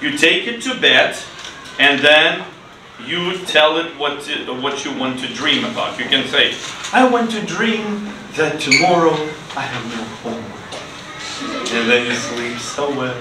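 A middle-aged man teaching an English class to children in simple, deliberate phrases, with repeated words and short instructions. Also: fairly high pitch. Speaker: ESL teacher. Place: indoor school classroom. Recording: roomy, noisy classroom, distant mic.

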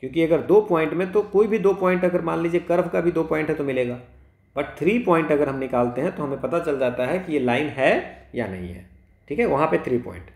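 A man's voice: on the high side, normal speed, creaky, darkish tone. A man with an Indian accent teaching maths step by step as a tutor, instructive and often checking in with short questions. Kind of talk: explaining exam questions step by step, switching between Hindi and English. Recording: roomy, echoing sound.

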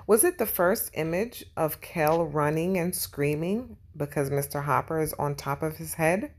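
A woman narrating a children's storybook and teaching young viewers in an animated, instructive way. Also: low-pitched, measured pace. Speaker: children's read-aloud host.

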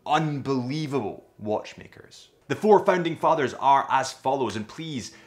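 A man's voice: mid-range voice, unhurried. Young Scottish man presenting with enthusiasm. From Scotland. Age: young adult. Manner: animated, gestures with his hands. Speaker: watch retailer presenter. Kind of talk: enthusiastic watch vlog narration. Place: small bright indoor studio set.

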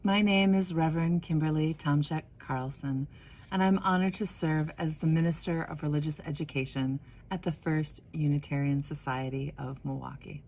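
A woman in her forties reading a children's story expressively, with deliberate pauses and emphasis. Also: sits low, steady tone, a little mellow. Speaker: minister of religious education reading a picture book.

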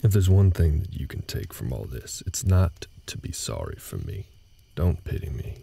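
A man plays a rock-bottom deep cowboy with a heavily gravelled voice. He is a weary, gentle ranch hand in an intimate audio drama, talking haltingly and folksily over night ambience. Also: sing-song, exaggerated voice. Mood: earnest, advising. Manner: halting, low-key, folksy drawl-like phrasing. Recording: night ambience noise behind the voice.

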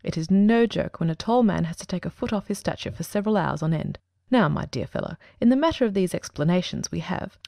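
A woman playing a composed, precise male detective in a dramatic audiobook, speaking in a measured, formal and articulate way. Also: fairly low pitch, vocal fry, darkish tone, no echo, studio quality. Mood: composed, courteous.

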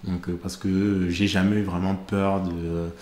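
Low flat-voiced guy in his 30s, from cameroon, chatting on a podcast mic, lots of pauses, roomy studio w/ light hiss. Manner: measured, thoughtful, many hesitations. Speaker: podcast host, interviewed guest. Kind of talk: conversational interview. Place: studio set with plants and glass-block wall. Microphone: studio mic on stand.

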